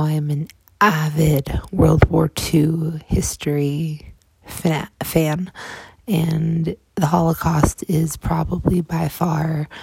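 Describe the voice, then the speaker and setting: A young woman in her twenties, hosting a podcast and telling her own story in a soft, halting way, with many fillers and restarts. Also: deep voice, vocal fry, breathy edge, dry recording. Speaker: podcast host. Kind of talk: personal storytelling monologue.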